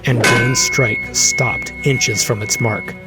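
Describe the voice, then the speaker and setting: A man's voice: mid-range voice, steady tone, slow. A man giving solemn, dramatic scripted narration of a fantasy story. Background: loud music.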